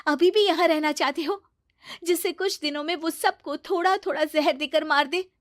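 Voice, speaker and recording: Woman acting a grave, high-voiced young cartoon woman. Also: moderate pace, no room sound, studio quality, natural voice. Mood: grave warning. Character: serious, suspicious young woman warning her friends. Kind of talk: animated cartoon story acting.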